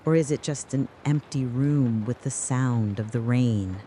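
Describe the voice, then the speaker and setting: A narrator delivering a scripted, dramatic late-night soliloquy in a deliberate, brooding way, speaking straight to the listener. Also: medium pitch, mellow, slight background noise. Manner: slow, deliberate, brooding second-person address.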